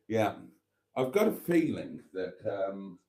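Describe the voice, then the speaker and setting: A British man in his fifties, talking to his viewers in a relaxed, rambling way. His voice sits fairly low and sounds warm and mellow. He trails off into ums and calls the audience darlings. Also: extremely slow, vocal fry. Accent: British English. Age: 50s. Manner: chatty and self-deprecating, calls viewers darlings; rambling with frequent ums and restarts. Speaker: vlogger host. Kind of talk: casual live-stream chat.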